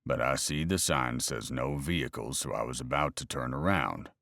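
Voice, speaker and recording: A man voicing his laid-back, rough-edged friend in a read-aloud story, casual and offhand. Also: rumbling low, normal speed, a bit bright, no echo, studio quality, voice pushed down, heavily acted.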